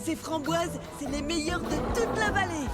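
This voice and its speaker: A woman playing a cheeky, friendly young boy in a French animated children's series, expressive and childlike. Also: very high voice, normal speed, loud music behind the voice. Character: friendly, spirited prehistoric boy, a big eater.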